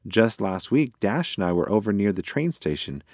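A man's voice: on the low side, sing-song, normal speed, a little mellow, dry recording, clean studio sound. A man voicing an obliging, good-natured young male mouse in a children's storybook, polite and agreeable. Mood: easygoing.

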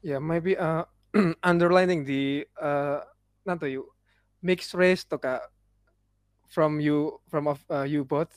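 A young man who grew up speaking another language talks haltingly, full of pauses and fillers, switching between English and Japanese. His voice sits a little high for a man. The sound is dry and close. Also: steady tone, clean tone, no echo. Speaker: guest. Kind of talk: casual chat.

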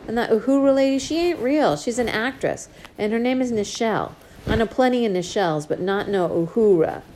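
A woman's voice: fairly high pitch, fairly quick, warm tone, slight background noise, pitched above the performer's own voice, lightly acted, rough recording. A woman reading a loving but stern middle-aged father in an audiobook, scolding then tender, with folksy slang. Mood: coaxing. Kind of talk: audiobook character voice.

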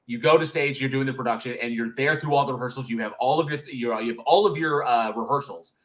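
A man's voice: medium pitch, fast. A man in his thirties co-hosting a casual live chat show, candid and swearing freely, often restarting his sentences. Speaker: co-host of horror review show.

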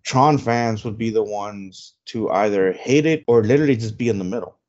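A man's voice: fairly low pitch, moderate pace, darkish tone. A man whose first language is Spanish, co-hosting a casual podcast and rambling with lots of fillers and restarts. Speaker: podcast co-host. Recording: dull lo-fi sound.

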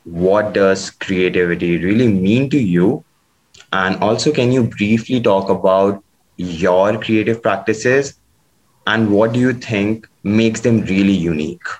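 A man's voice: fairly low pitch, unhurried, darkish tone, rough recording. A man hosting a podcast, reading a prepared introduction and then asking questions with frequent hesitant fillers. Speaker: podcast host.

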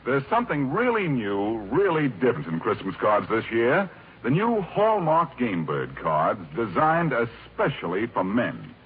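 Male American ad announcer, golden-age radio delivery, lively intonation; tinny, faintly noisy archival sound. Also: medium pitch, unhurried. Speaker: commercial announcer. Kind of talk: scripted 1940s-50s radio advertisement. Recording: noisy, narrow-band vintage radio recording.

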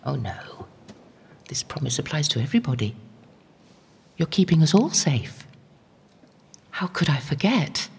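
An older woman playing an elderly, devout medieval anchoress in an audio drama, gentle and humble, praying in a hushed, earnest, reflective way. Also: low-pitched, sing-song, measured pace, vocal fry, pauses often, a little hiss or hum, exaggerated voice. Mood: anxious, then assured. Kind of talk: audio drama acting, intimate prayer.

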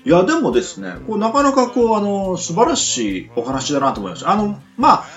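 Male radio host, teasing and expressive, casual delivery over faint music, lo-fi sound. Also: sits high, fairly quick. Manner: animated, teasing, frequent backchannels. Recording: muffled lo-fi audio with music underneath.

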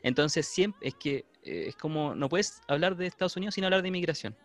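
A man with a Chilean Spanish accent, debating casually and often searching haltingly for his words. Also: a little high. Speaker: discussion panelist.

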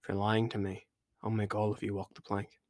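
A man voicing a gruff adult pirate captain who talks loud and brash, full of sailor slang. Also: lowish voice, completely flat delivery, fairly quick, no room sound, studio quality, natural voice. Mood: stern warning.

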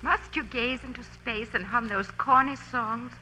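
A woman's voice: on the high side, unhurried, pushed and bright. An actress playing a languid, teasing, worldly woman with a bored, flirtatious manner in 1940s radio drama. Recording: faintly noisy old radio recording.

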